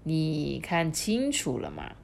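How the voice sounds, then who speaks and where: Sly old male dream god, slow and lowish teasing drawl, storybook put on by a woman narrator. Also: wildly swooping pitch, vocal fry, full character voice.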